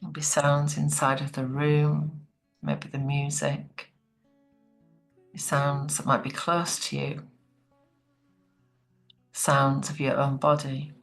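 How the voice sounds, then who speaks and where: A woman leading a guided relaxation meditation in a soothing, gentle, unhurried manner. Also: deep voice, monotone, creaky, soft background music.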